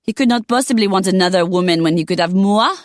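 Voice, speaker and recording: A woman's voice: mid-range voice, quick pace, dry recording, studio quality, pitched above the performer's own voice, natural voice. A woman voicing a vain, jealous Frenchwoman from Paris with a French accent and dramatic, haughty delivery.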